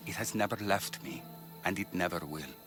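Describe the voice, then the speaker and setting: An actor playing a dedicated, brisk ancient Greek physician in a video game, earnest and emphatic in the way he talks. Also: deep voice, music in the background, lightly acted. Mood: solemn, regretful.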